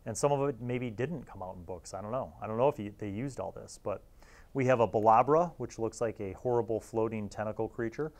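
Male host, 40s, lowish voice, informal geeky reviewer with a jokey, slangy delivery. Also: a little fast, a little mellow. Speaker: host of a magazine review series. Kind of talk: casual chatty review commentary.

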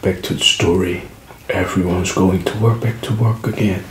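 A man whispering a story softly for an ASMR video, with gum-chewing clicks behind him. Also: low voice, fairly even intonation. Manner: soft whispering, slow and deliberate. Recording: echoey room with background noise.

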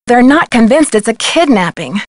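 An earnest young woman detective from a video game. Her voice is fairly high and articulate, worried yet determined, in a very dry recording. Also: sing-song, moderate pace, dry recording. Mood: troubled, earnest. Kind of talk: video game voice acting, phone dialogue.

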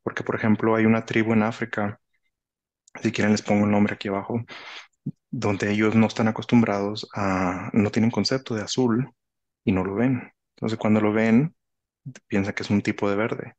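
A man in his thirties with a Mexican Spanish accent, explaining casually and reflectively, often halting and repeating phrases, on a headset in a video call. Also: fairly low pitch, little pitch movement, muffled recording. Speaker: author, interview guest. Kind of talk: casual conversational explaining with anecdotes. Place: indoor room, video call.

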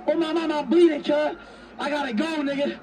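A man playing a roleplay game on stream, excitable, slangy and profane, acting in character. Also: very high-pitched, brisk, distant mic, over a noisy crowd.

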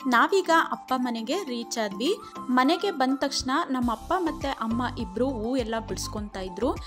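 A young woman narrates her vlog in a steady, explanatory voice-over, slipping English words into her sentences. Her voice sits a little high for a woman. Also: normal speed, over music. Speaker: vlogger.

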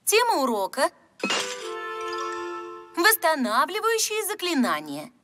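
High, lively young fairy teacher. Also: female voice, heavily acted. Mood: brisk. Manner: brisk, schoolteacherly. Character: young woman fairy teacher, proper but scatterbrained. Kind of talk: animated cartoon acting. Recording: music under the voice.

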